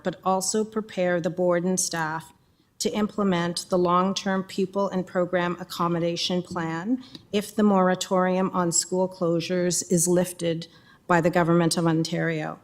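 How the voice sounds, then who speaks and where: A woman director introducing a report at a school board meeting in a measured, formal way, reading prepared remarks. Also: fairly low pitch, fairly even intonation.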